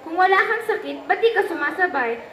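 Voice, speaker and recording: A woman's voice: very high voice, expressive, brisk, a bit bright. A woman voicing a strict female schoolteacher with a Filipino accent in an amateur student puppet show. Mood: scolding. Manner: scolding, questioning. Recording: echoey room recording with background noise.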